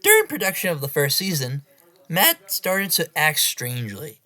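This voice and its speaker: A woman's voice: deep voice, huge pitch swings, moderate pace, pushed and bright. A woman reading a scripted horror story aloud as its narrator.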